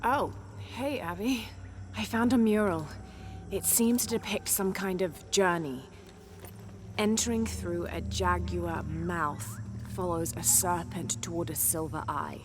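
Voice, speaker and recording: An actress as a young woman adventurer in a game cutscene, with a lowish voice set over clear music. She is determined and thoughtful, working through puzzles in measured, earnest speech. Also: lightly acted. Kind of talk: video game cutscene acting, murmured reasoning and radio reports.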